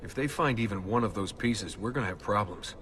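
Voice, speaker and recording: An actor playing a laid-back young assassin recruit in a video game, plain-spoken and questioning in a casual way. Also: male voice, on the low side, brisk, fairly bright tone, lightly acted. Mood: concerned. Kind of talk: game cutscene dialogue. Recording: music under the dialogue. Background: music.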